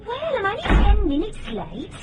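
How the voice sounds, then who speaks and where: An actress playing a fed-up, flighty young British office secretary in radio drama, breezy and petulant. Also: female voice, very high-pitched, huge pitch swings, normal speed, background noise, heavily acted. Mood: breezy, defensive. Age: young adult.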